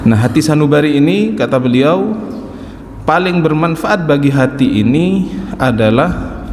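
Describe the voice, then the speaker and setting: A man in his forties giving a calm, explaining religious lecture at a mosque study circle, often adding an affirming "ya". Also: fairly high pitch, unhurried, slight background noise. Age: 40s. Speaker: religious teacher. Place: large mosque hall. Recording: echoey mosque hall with faint ambience.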